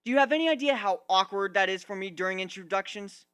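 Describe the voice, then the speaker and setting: Narrator doing a grumpy wounded griffin guy in a story, ranting hard, voice pushed up high and swinging around. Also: animated, fast, fairly bright tone, clear, resonant tone, dry recording, natural voice. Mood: defensive, angry. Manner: ranting, emphatic, aggrieved. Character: indignant, wounded, sarcastic young male griffin.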